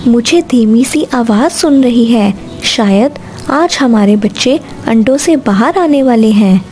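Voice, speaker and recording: A woman voicing a gentle, anxious mother sparrow in a cartoon story, tender and emotional. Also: fairly high pitch, lively intonation, unhurried. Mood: tender, expectant. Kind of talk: Hindi cartoon story dialogue. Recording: faint music behind the voice.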